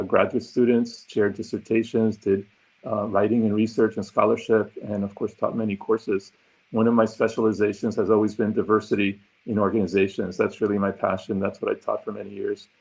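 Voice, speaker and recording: A man in his sixties from Argentina whose first language is Spanish, speaking from his home office as an interview guest, thoughtful and often pausing with ums and uhs. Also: on the low side, vocal fry, a little mellow, breathy edge, poor audio. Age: 60s. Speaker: guest, consultant. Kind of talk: conversational interview answers.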